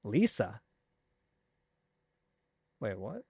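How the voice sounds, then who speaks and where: A man giving casual live commentary on a video game, rambling and halting as he thinks aloud. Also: fairly low pitch, wildly swooping pitch, creaky, mellow, slightly rough, no room sound, studio quality. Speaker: game streamer.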